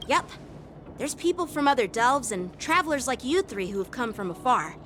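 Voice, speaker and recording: A woman's voice: fairly high pitch, normal speed, slight background noise. A woman voicing an eager, chatty teenage boy swordsman in a game. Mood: cheerful. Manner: bright and chatty, animated. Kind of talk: game voice acting. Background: game soundtrack music.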